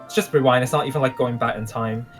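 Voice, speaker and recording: A man playing an unsure young time-traveling mage in a game roleplay, talking in a halting, rambling way. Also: mid-range voice, fairly even intonation, faint music behind, close to an everyday voice. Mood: hesitant, unsure. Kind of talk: improvised video game roleplay.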